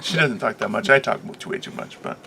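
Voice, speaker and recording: A man in his late thirties co-hosting a podcast, chatting casually in a loud, animated and teasing way. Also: mid-range voice, quick pace, creaky, slight background noise. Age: 30s. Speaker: podcast co-host. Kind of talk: casual chat.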